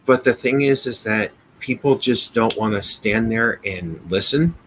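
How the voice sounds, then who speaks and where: A man's voice: medium pitch, a little slow, a bit bright. Rambling American man chatting, faint noise. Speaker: co-host of a business show. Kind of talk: casual chat.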